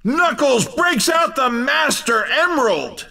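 An actor playing a playful, over-excited racing commentator in a video game, with a hammy, exclamatory showman delivery. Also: very high voice, slow pace, creaky, distant mic, exaggerated voice. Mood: excited, urgent. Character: over-excited male cartoon racing announcer, playful and punning.

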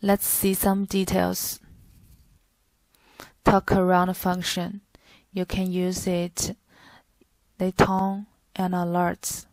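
Slow, monotone woman narrating a product guide. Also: on the low side, no echo. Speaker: product demonstrator. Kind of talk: scripted product tutorial narration.

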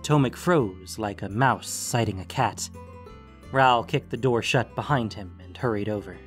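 A man's voice: lowish voice, a little slow. A man reading scripted fiction as an audiobook-style narrator. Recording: music bed under the voice. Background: guitar music.

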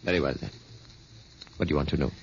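An actor playing a stern, wary revolutionary in an old radio drama, speaking formally and guardedly. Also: male voice, a bass voice, steady tone, quick pace, a little hiss or hum. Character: stern, wary male revolutionary, a scientist's son. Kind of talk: 1950s radio drama acting. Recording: muffled old radio recording.

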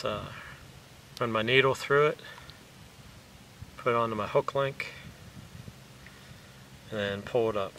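A man in his thirties talks through a recipe in a relaxed, plain way, with a few fillers along the way. He sits outdoors by a lake, a few meters from the camera, so his voice sounds distant. Steady outdoor noise runs under his words. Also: lowish voice. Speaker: fishing vlogger. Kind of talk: casual how-to demonstration. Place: outdoors on a lakeshore. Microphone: camera mic from a few meters away.

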